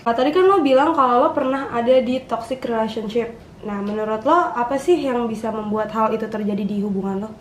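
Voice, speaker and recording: Very high-voiced young woman moderating. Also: fairly quick. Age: young adult. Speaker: discussion moderator. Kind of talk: casual interviewing. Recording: very roomy, faint noise.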